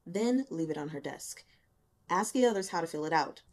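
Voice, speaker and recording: A tired male office boss from an audiobook, played by a woman narrator. He talks in a casual, offhand way, brisk and blunt, with a wry teasing edge. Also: on the low side, animated, a little mellow, pitched below the performer's own voice, lightly acted. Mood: offhand, businesslike.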